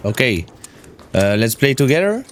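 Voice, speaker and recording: A man from Belgium, a native French speaker with a Belgian French accent, live-streaming a game and chatting excitedly in simple, repetitive English. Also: medium pitch, normal speed, slight background noise. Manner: excitable, repeats words in simple broken English. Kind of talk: live gaming commentary and voice chat.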